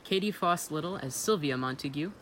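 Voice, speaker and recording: Female presenter with a notably low voice, scripted credit read, slight background noise. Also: expressive, brisk, a bit bright. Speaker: co-writer and presenter of the show. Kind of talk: scripted podcast closing credits.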